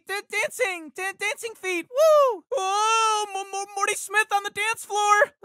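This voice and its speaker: A man's voice: very high voice, sharp tone, no room sound, heavily acted. A man voicing a nervous, stammering teenage boy in a video game, tripping over and repeating his words, recorded on a studio microphone. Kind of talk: game voice lines.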